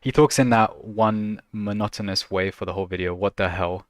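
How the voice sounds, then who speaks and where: A man's voice: on the low side, steady tone, moderate pace, no room sound, studio quality. A man casually reacting to videos on a stream, laughing a lot and teasing in a slangy, joking way.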